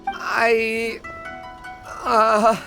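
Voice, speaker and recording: A man's voice: extremely high pitch, sharp tone, exaggerated voice. A man voicing a polite, hesitant, apologetic townsperson in a video game. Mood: flustered. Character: polite, flustered townsperson deferring to a ruler.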